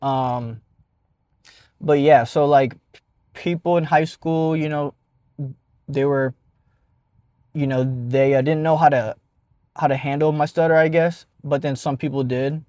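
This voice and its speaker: A man's voice: fairly high pitch, fairly even intonation, measured pace, pauses often, darkish tone, no echo, dull audio. A young man with a stutter telling a casual personal story as a vlogger, with many fillers and restarts.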